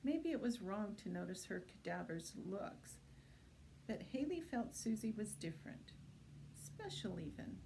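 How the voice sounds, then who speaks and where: Mellow-voiced woman reading a novel aloud. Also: medium pitch, very animated, measured pace, gravelly, slight background noise, rough recording. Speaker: library reader introducing and reading a book aloud. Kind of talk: audiobook-style reading of a thriller novel.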